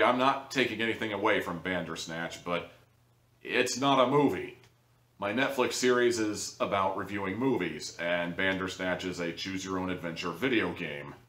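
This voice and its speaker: Low-voiced man reviewing in an echoey room. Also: fairly bright tone. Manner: blunt, sarcastic, exasperated. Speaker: audio drama reviewer, host. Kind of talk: casual opinionated review to camera. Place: living room, indoors. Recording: echoey room, distant microphone.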